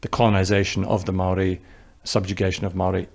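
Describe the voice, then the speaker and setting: A man's voice: low voice, monotone, fast talker, darkish tone. A film director with an English accent, answering interview questions in a reflective way, thinking aloud and restarting his sentences. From New Zealand.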